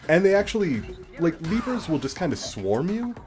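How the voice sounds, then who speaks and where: A man's voice: high voice, sing-song, normal speed, darkish tone, soft background music. A man giving casual, explanatory game commentary as a let's play host, swearing casually now and then.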